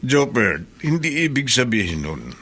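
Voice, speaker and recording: An actor with a Filipino accent playing a middle-aged fellow prisoner in a radio drama, consoling and sympathetic. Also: male voice, medium pitch, very animated, unhurried, bright, forceful tone, exaggerated voice. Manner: counselling, sympathetic. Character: calm, consoling older male fellow prisoner.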